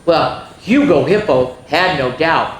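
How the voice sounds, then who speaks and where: A woman hosting a story time for young children, reading in an animated, chatty way and putting questions to her young listeners. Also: low-pitched, slow pace. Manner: chatty, asks listeners questions and answers them herself. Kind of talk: animated picture-book read-aloud for young children. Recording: echoey room with faint background noise.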